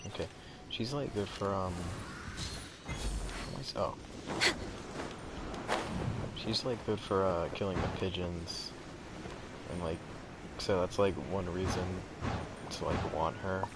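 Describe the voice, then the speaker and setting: A man's voice: low-pitched, steady tone, slow, long pauses, heavy background noise. A man giving casual gameplay commentary in a rambling, slangy way. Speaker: gamer playing and commenting.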